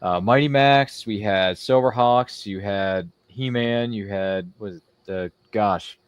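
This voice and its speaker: A man's voice: lowish voice, slow, clear, resonant tone, no room sound. A man hosting a casual interview, chatting in a rambling way and slipping into quotes and little impressions. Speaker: show host.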